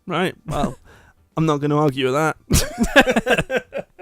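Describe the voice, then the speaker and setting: A man's voice: fairly high pitch, huge pitch swings, slow pace, a bit bright, no room sound, soft background music. A man co-hosting a let's play, chatting playfully about a game and reading bits of it aloud.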